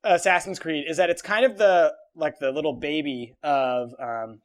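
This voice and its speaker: Guy with a slightly high voice explaining his takes on a casual podcast. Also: moderate pace. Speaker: podcast panelist. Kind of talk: casual opinionated discussion.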